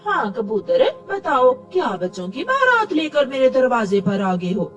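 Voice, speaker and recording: A woman voicing a scolding, deceitful mother crow in a cartoon story, dramatic and sharp-tongued. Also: high-pitched, very animated, a little slow, far from the mic, soft background music. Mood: mock outrage, scolding. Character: scolding, deceitful crow mother who coddles her son.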